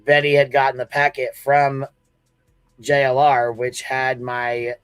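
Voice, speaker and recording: A man's voice: mid-range voice, little pitch movement, a little slow, fairly bright tone, clean tone, no echo, faint music behind. A man with an American accent doing casual livestream commentary, telling a story in informal, sweary, slangy talk.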